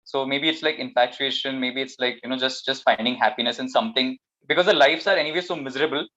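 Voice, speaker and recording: A man's voice: mid-range voice, fast talker, bright, forceful tone. Dull-sounding recording of an Indian man chatting. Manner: explanatory, conversational. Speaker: guest actor. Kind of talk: casual interview chat. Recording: dull, muffled lo-fi microphone.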